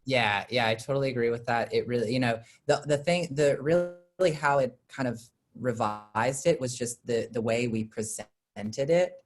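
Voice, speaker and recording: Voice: medium pitch, moderate pace. A person chatting casually and hesitantly on a video call, rambling a little while moderating questions. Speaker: moderator and theater collaborator. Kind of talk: casual chat.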